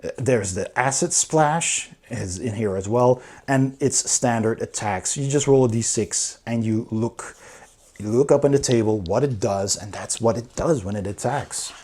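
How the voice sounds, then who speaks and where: Male reviewer, mid pitch, slightly husky; casual, enthusiastic commentary. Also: measured pace, darkish tone. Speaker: board game reviewer. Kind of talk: casual review commentary, walking through a book.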